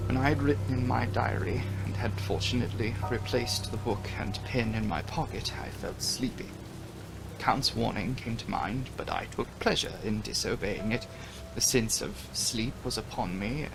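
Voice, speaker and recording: Dramatic low-voiced man with gravelly creak. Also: low-quality recording. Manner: theatrical, hushed passages swelling to emphasis. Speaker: audiobook narrator. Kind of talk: dramatic reading of a gothic novel.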